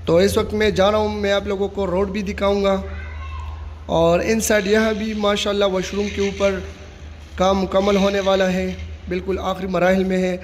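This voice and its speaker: A man's voice: high-pitched, normal speed. A man walking through a stadium construction site, steadily narrating updates and pointing things out to viewers. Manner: steady informal commentary, repeats "you can see". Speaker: vlogger giving site updates. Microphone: handheld phone camera. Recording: long reverb, faint site noise.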